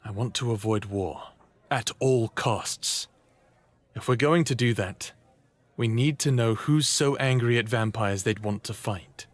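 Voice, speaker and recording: A man's voice: medium pitch, unhurried, no room sound, lightly acted. An actor playing an earnest, hesitant man of thirty-eight who speaks persuasively in a fiction podcast drama. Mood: resolute, measured. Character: earnest 38-year-old human rebel-idealist, a smartass former captive.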